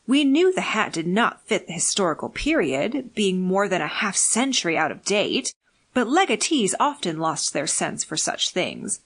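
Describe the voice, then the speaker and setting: A woman reads scripted fiction as an audiobook narrator. Her pitch sits in the middle range, and her delivery is measured and deliberate. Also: very animated, breathy edge.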